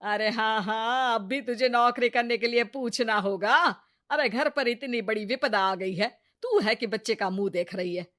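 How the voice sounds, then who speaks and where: A woman's voice: fairly high pitch, moderate pace, fairly bright tone, natural voice. A woman voicing an older, complaining mother-in-law who scolds and grumbles in a story. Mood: scolding.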